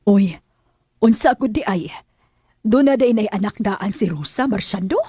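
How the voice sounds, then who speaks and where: An actress playing a stern, disapproving aunt with a Filipino Bisaya accent in a radio drama. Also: female voice, medium pitch, animated, unhurried, mellow, soft background music, natural voice. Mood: indignant, scolding. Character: stern, disapproving aunt scolding a nephew's girlfriend choice.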